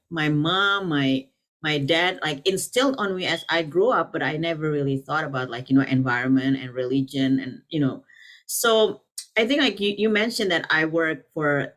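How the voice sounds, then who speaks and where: A woman's voice: low-pitched, a bit bright. A middle-aged woman from Indonesia speaking at a panel, rambling with many fillers and restarts. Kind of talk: casual conference talk.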